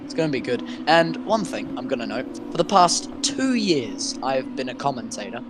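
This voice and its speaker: A man's voice: medium pitch, very animated, normal speed, background noise. A man co-commentating a sim race, playful and dramatic, often stammering as he starts a sentence.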